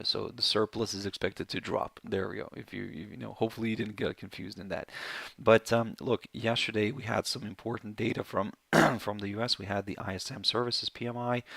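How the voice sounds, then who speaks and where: A man with a hoarse, failing voice, hosting a live market webinar in a rambling way with many fillers and self-corrections. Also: lowish voice, fairly bright tone, dry recording. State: hoarse, voice going.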